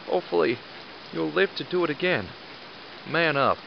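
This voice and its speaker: A man playing a blunt, commanding, gruffly fatherly squad leader with a sarcastic edge in an audio drama. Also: a little high, animated, normal speed, highs cut off, background noise. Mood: stern, exasperated.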